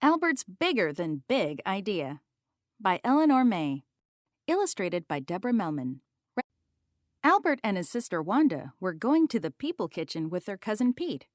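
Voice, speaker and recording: A woman's voice: medium pitch, big pitch swings, normal speed, dry recording, studio quality. A woman narrating a children's picture book as a read-aloud.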